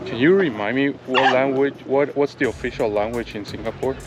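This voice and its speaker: A man hosting an interview, relaxed, cutting in with short follow-up questions. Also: mid-range voice, fairly quick, music in the background, poor audio. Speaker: interviewer and channel host.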